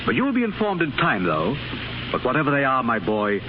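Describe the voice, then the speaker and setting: A man's voice: medium pitch, wildly swooping pitch, brisk. An actor playing a grave, fatherly older British ambassador in a 1930s radio drama, hesitant and a little pompous. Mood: fatherly, solemn. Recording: muffled 1930s radio broadcast, music behind.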